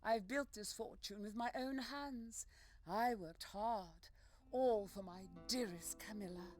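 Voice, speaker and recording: A woman playing a proud, controlling, wealthy mother devoted to her daughter, speaking solemnly in a fantasy game, with loud music behind. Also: medium pitch, close to an everyday voice. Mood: proud, bitter. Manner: solemn, deliberate. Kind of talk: fantasy game character acting. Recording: loud guitar music over the voice.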